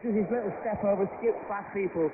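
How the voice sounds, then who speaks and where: A man's voice: high voice, fast talker. A man co-commentating on a football match for radio, giving chatty, opinionated analysis of the players and the referee. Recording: tinny shortwave radio with loud static.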